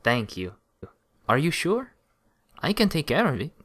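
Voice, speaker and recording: A man's voice: on the high side, huge pitch swings, measured pace, fairly bright tone, full character voice. A man playing a helpful, polite ship's phone attendant in a radio play, speaking courteously.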